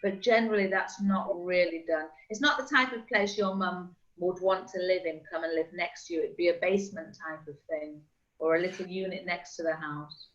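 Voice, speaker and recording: A middle-aged woman working as a real estate agent, chatting casually and explaining things with quick examples over a call. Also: on the low side, fairly even intonation, a bit bright. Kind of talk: casual video call chat. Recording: slightly dull lo-fi call audio.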